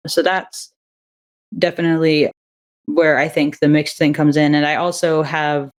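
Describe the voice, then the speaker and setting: A woman's voice: low-pitched, little pitch movement, a little slow. A woman who writes and directs audio drama, talking through her ideas in a casual interview with fillers and small laughs.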